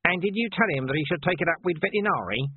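A man's voice: fairly high pitch, expressive, fast, slightly rough, no room sound, narrow, tinny audio, voice pushed up. A man voicing a blunt, persistent male city watchman.

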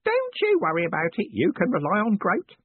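Man putting on a high-pitched, timid old fellow. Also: wildly swooping pitch, a little fast, dry recording, full character voice. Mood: eager. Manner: nervous, hesitant, deferential. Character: timid elderly male lodging keeper, eager to please. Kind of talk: audiobook character voice. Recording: narrow phone-like band.

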